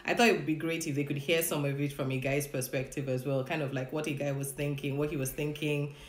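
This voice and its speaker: A woman's voice: low-pitched, fairly even intonation, quick pace, roomy sound. A woman hosting a casual live-streamed chat at home with her husband, greeting viewers, laughing often and leading a prayer. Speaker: host of a live session. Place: home room, indoors.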